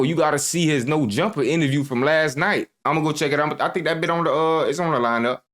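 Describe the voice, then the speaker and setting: A man's voice: a little high, a bit bright. A man commenting casually on a video he is reacting to, joking in loose slang.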